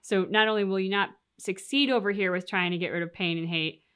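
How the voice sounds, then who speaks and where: A woman's voice: medium pitch, fairly even intonation, brisk, fairly bright tone, smooth. A woman co-hosting a reaction show, talking casually and reflectively about a game's story, explaining her thoughts at length. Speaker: reaction channel co-host. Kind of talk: casual discussion of a video game story.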